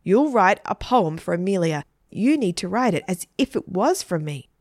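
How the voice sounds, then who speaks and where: A woman's voice: medium pitch, big pitch swings, moderate pace, a little mellow, dry recording, clean studio sound. A woman voicing an awkward, lovesick schoolboy in a story, by turns insistent and fumbling. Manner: insistent, fumbling when embarrassed.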